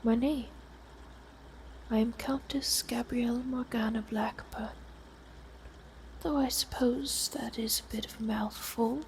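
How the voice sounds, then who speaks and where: A woman playing an elegant, lonely vampire countess in an ASMR roleplay, with a soft, breathy whisper and a formal, genteel manner. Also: a little high, fairly even intonation, slow pace, long pauses, rough recording. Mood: courteous, reassuring. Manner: formal, genteel, old-fashioned phrasing. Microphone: close binaural microphone. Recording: close binaural whisper with audible hiss.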